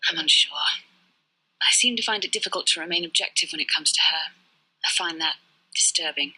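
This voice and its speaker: A woman's voice: deep voice, brisk, sharp tone, slightly rough, heavily acted, low-quality recording. A woman playing a proud, guarded young warrior-monk and resentful daughter in a video game, speaking formally, clipped and earnest. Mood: troubled, resentful. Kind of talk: dramatic scripted game dialogue.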